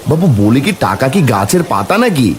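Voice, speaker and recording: A man voicing a meek, put-upon village husband and father in a cartoon, plaintive and expressive. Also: medium pitch, moderate pace, lightly acted. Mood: dismayed, pleading. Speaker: cartoon voice actor.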